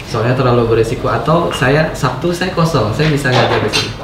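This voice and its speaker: A man in his thirties from Riau, Indonesia, a lecturer telling his life story in a relaxed interview chat. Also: medium pitch, brisk, far from the mic, noisy background. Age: 30s. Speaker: lecturer guest. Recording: roomy, off-mic, audible ambience.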